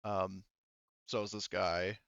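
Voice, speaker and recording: A man working as game master, thinking aloud haltingly and casually swearing as he narrates a tabletop roleplaying game. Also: low-pitched, little pitch movement, fairly bright tone, clear, resonant tone, no echo, muffled recording.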